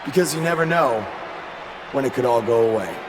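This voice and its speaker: A man playing a humble young underdog wrestler giving a sincere, deliberate promo in a video game. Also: medium pitch, over a noisy crowd, lightly acted. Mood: earnest. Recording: noisy arena PA with long reverb.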